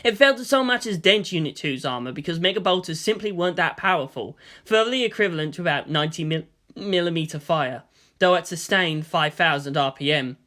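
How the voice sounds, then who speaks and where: A man's voice: fairly high pitch, animated, fairly quick, sharp tone. A man reading action fiction aloud as a dramatic, emphatic audiobook-style narrator. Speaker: story narrator.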